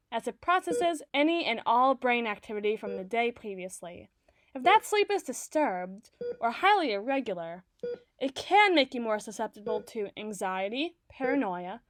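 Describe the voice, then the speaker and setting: A woman playing an obsessive, doting doctor in an ASMR roleplay, speaking softly and articulately with theatrical tenderness. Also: high voice, background noise. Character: obsessive, doting yandere woman doctor, soothing but possessive. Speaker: audio roleplay voice performer.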